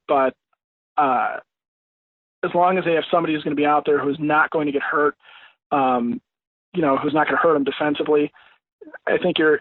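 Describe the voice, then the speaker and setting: A middle-aged man with a slightly raised pitch gives casual sports analysis as a guest. He thinks aloud in a halting way. The sound is dry, muffled and lo-fi. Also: no echo. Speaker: podcast guest, baseball pundit. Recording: dry, dull lo-fi connection.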